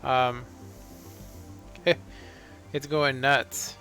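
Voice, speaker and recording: A man in his thirties, a live streamer chatting casually and rambling with lots of fillers in a monotone way. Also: medium pitch, drawn-out words. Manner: relaxed, rambling, many fillers. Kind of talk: casual live-stream chat while playing. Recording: faint background music.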